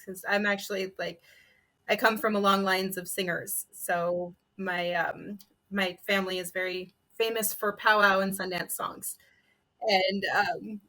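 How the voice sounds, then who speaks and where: A woman's voice: mid-range voice, a bit bright, low-quality recording. A woman on a panel, casually telling personal stories in an informal, conversational way. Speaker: storyteller panelist.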